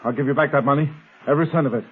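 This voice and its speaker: An actor playing a nervous, cowardly crooked accomplice in a 1930s radio drama, pleading and jittery. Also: male voice, mid-range voice, racing, slightly rough, natural voice. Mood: panicked. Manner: wary, then jittery and pleading. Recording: tinny lo-fi 1930s radio broadcast.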